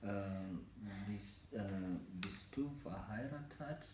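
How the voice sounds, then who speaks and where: A man in his twenties from Kenya, whose first languages are Mijikenda and Swahili, speaking careful learner's German with frequent pauses and fillers. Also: lowish voice, roomy sound, noisy background. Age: 20s. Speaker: German learner in a practice interview. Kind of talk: slow, halting learner German with many fillers.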